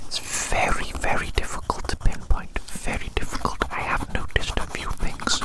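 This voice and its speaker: Unvoiced whisper, breathy, close-miked ASMR, rambling, repeated phrases, personal attention. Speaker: ASMR video creator. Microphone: very close to the microphone.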